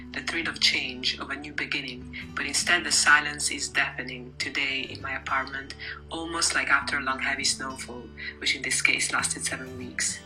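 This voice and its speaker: A woman's voice: rumbling low, low-quality recording. A woman reading a scripted first-person literary piece aloud as narration. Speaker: reader of a literary text. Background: music.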